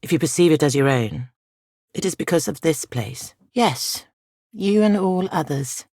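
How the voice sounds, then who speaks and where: A woman voicing an ancient, weary spirit guardian in a video game, speaking softly in solemn, measured, formal archaic phrases. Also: deep voice, expressive, no echo, clean studio sound, exaggerated voice. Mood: solemn, aloof. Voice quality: soft, breathy, creaky. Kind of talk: video game character dialogue.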